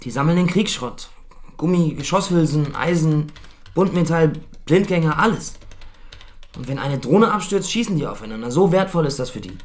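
A man playing a defensive, rattled soldier under interrogation in a radio drama, terse, halting and evasive. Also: on the high side, expressive, natural voice. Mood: guarded.

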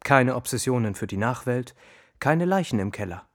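A man's voice: mid-range voice, lively intonation, moderate pace, close to an everyday voice. A man playing a sly, needling alter ego in a radio play, with clipped, mocking commentary. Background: soft music.

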